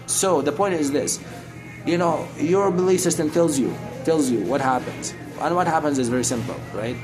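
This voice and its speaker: Middle-aged male, from Syria. Unscripted, preachy street-talker delivery with rambling repetition, guitar music clearly behind. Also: fairly high pitch, moderate pace, low-quality recording. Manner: rambling, repeats phrases for emphasis; preachy, addresses listener as brother. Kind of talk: casual, unscripted explaining in conversation.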